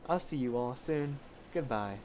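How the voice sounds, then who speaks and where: A man's voice: mid-range voice, animated, measured pace, a little mellow, a little hiss or hum. A man casually reading fan fiction aloud as an amateur story reader, stumbling over the text and correcting himself.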